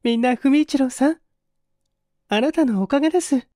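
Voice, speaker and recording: A man voicing a polite, devoted young woman who speaks softly and formally in a dramatized story reading. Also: very high-pitched, moderate pace, no echo, clean studio sound, pitched above the performer's own voice. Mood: grateful, relieved. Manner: soft, demure, formal. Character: polite, grateful young woman, devoted daughter, gently inquisitive.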